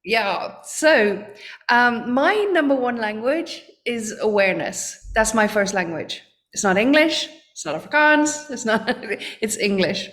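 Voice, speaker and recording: A woman's voice: on the high side, lively intonation, a little slow, fairly bright tone. A woman, a guest on a podcast interview, explaining her ideas earnestly and often repeating words as she searches for them. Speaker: guest, trading coach.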